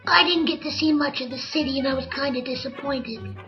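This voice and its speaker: A woman's voice: high-pitched, fairly quick, dull audio, over music, lightly acted. A woman voicing a small, wiry talking monkey who is cheeky, sarcastic and whiny, in an old-time radio comedy drama. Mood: grumbling narration.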